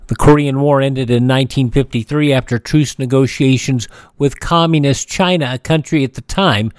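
A man's voice: mid-range voice, moderate pace, dry recording. An American man hosting a radio show, talking conversationally about history.

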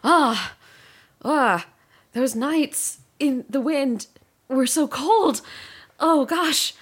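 A woman's voice: high voice, expressive, drawn-out words, pitched above the performer's own voice, full character voice. A woman voicing a theatrical, melodramatic queen of the underworld in a comedic audio story. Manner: melodramatic, sighing. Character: dramatic gorgon queen, theatrical and moody.

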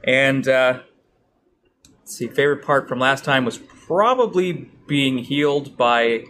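A man's voice: medium pitch, measured pace, fairly bright tone, slight background noise. A man who acts and writes, introducing himself as a player at a tabletop game. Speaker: actor and player. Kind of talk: casual chat.

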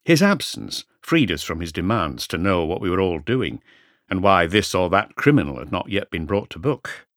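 A man reading a Victorian mystery novel aloud in a measured, literary storytelling manner. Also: low voice, big pitch swings, a bit bright, dry recording, clean studio sound. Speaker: audiobook narrator. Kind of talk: scripted first-person narration of a Victorian mystery novel.

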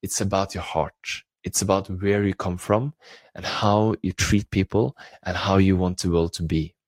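Man, Norwegian accent, motivational coach, eager and repetitive, low-pitched, dead dry recording. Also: steady tone, moderate pace, no room sound. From Norway. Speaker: coach trainer and founder. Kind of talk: solo live broadcast talk.

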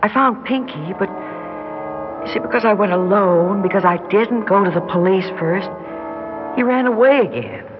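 A woman's voice: medium pitch, animated, natural voice. Woman playing an earnest, guilt-ridden do-gooder. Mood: halting, apologetic. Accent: American. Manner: anxious, halting, pleading. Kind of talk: 1960s radio drama acting. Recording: dull 1960s radio recording, music behind.

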